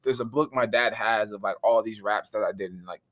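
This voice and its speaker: A man, telling stories in a relaxed way with drawn-out fillers. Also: fairly low pitch. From Southern California. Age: 20s. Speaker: guest rapper. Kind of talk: casual storytelling. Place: recording studio.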